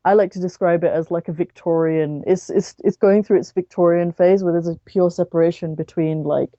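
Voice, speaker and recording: British female podcast guest, very soft dark tone, casual halting storytelling, slightly dull lo-fi recording. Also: fairly low pitch, steady tone, no echo. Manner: halting, frequent "like".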